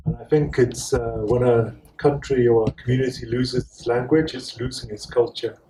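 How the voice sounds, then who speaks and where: A man's voice: mid-range voice, level tone, moderate pace, warm tone, a little hiss or hum. A man from Wales giving thoughtful panel remarks, often repeating words as he hesitates. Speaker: academic co-author speaking at a book event. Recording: echoey room, distant mic.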